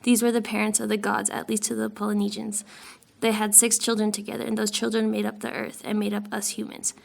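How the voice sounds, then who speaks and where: Hesitant young woman with a gravelly voice. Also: medium pitch, completely flat delivery, brisk. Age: young adult. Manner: hesitant, with fillers and restarts. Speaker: student reader. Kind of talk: reading a myth aloud for class.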